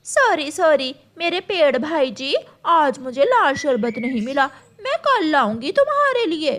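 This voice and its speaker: A woman's voice: sits high, very animated, measured pace, fairly bright tone, full character voice. A woman voicing a sly, lazy female crow who wheedles and fakes apologies in a cartoon. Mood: wheedling, sly.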